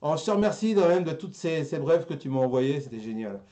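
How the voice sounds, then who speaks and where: A man's voice: on the high side, expressive, fast talker, very noisy. A man hosting a casual chat show, teasing and jokey, often repeating his words as he goes. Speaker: show host.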